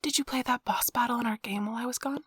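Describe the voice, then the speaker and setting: A woman playing an affectionate, playful, nerdy young girlfriend in an intimate, soft-spoken ASMR role-play, with a breathy, whispery voice and a tender, teasing manner. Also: on the high side, fast, no room sound, clean studio sound. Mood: affectionate, chatty.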